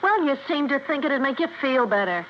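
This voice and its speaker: An American actress playing a young, brassy, scornful wife of a building superintendent, colloquial and sardonic, in a 1950s radio drama. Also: female voice, sits high, very animated, brisk. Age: young adult. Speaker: witness. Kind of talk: 1950s radio detective acting. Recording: muffled, faintly noisy 1950s radio recording.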